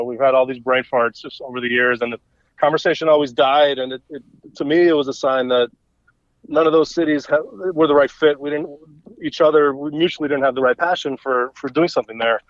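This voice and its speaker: A man's voice: medium pitch, fairly even intonation, brisk, dry recording. Salvadoran-born guy, spanish first language, casual chat, lots of um and you know, dull lo-fi mic. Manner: rambling, frequent fillers and restarts. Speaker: gallery owner, guest. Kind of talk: casual conversation. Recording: dull lo-fi audio.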